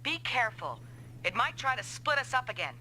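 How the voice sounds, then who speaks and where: High, lively commanding woman pilot. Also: fairly quick, slight background noise, full character voice, rough recording. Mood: brisk, commanding. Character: commanding female mech pilot team leader. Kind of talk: scripted anime-style game dub acting.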